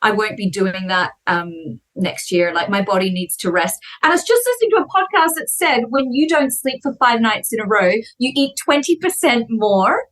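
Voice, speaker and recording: A woman in her thirties, a podcast guest chatting in an animated, fast storytelling way. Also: a little high, low-quality recording. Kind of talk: casual conversation.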